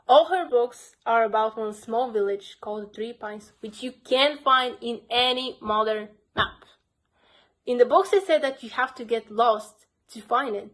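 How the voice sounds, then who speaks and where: A woman's voice: on the high side, a little slow, a bit bright, poor audio. A young woman with a Bulgarian accent, earnestly reviewing her crime book collection in a casual vlog. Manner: earnest, simple phrasing with frequent "basically". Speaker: book vlogger.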